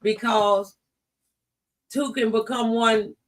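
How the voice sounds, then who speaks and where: A man's voice: high voice, steady tone, slow pace, fairly bright tone, recorded from a distance. An American man hosting a talk show, interviewing guests in a casual, conversational way.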